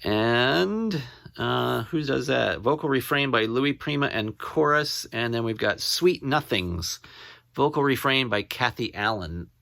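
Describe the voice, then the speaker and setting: A man chatting casually as he shows off his finds as a collector, rambling and halting, often repeating what he reads out. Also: mid-range voice, lively intonation, gravelly, fairly bright tone, no room sound, studio quality. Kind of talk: casual show-and-tell to camera.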